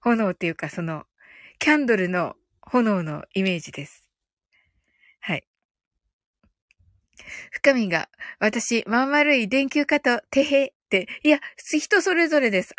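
A woman with a very high, bright voice hosts a casual live audio stream. She chats politely and reads out comments from listeners, often breaking into giggles as she speaks. The sound is dry, with no room echo. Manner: giggly, laughs often while talking. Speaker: livestream host reading out listener comments.

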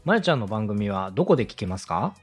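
A man's voice: on the low side, very animated, fast talker, breathy edge. A man hosting a podcast, asking questions and giving advice in a courteous, encouraging way. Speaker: podcast host, radio director. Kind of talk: interviewing and advising on a podcast. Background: background music.

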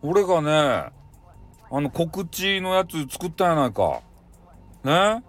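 Man acting out bossy male boss, raised pitch, blunt scolding, Hakata dialect, comic radio drama, music behind. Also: expressive, slow pace. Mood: furious scolding. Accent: Hakata, Fukuoka. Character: hot-headed bossy male company boss with a flirty streak.

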